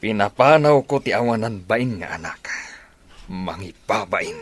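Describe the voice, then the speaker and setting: A man's voice: fairly low pitch, animated, a little slow. A man voicing a stern, middle-aged Filipino father with an Ilocano accent, harsh and scolding in a radio drama. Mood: angry, scornful. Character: stern, angry father who disowned his pregnant daughter.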